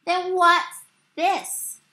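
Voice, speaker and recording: A woman voicing gruff, shouting male baseball players from a storybook, urgent and growly. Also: very high voice, flat delivery, extremely slow, pitched above the performer's own voice, heavily acted. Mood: indignant.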